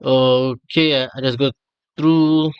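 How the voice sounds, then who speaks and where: Slow-talking man casually livestreaming a game. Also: mid-range voice, steady tone, clear, resonant tone, no room sound, highs cut off.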